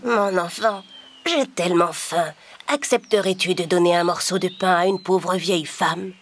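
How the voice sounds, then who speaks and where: A woman's voice: lowish voice, moderate pace, natural voice. A woman voicing a kindly, poor old peasant woman in a children's fairy-tale cartoon, speaking in a pleading, solemn way. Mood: weary, pleading.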